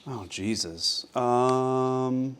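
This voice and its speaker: A man chatting in a relaxed, dry way with his partner on a vlog. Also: medium pitch, extremely slow, clear, resonant tone. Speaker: vlogger's partner. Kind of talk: casual chat.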